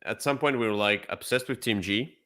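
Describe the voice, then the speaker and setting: A man co-hosting a casual board game discussion, enthusiastic, with halting, repetitive phrasing. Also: lowish voice, sharp tone. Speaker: co-host.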